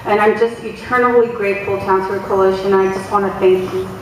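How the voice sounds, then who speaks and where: A young adult woman giving a halting, emotional speech to a gathering, with many pauses and restarts and a loud voice. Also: mid-range voice, fairly even intonation. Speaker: guest speaker, community organizer. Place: large echoey hall. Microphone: far from the mic. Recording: echoey hall from far off, noisy.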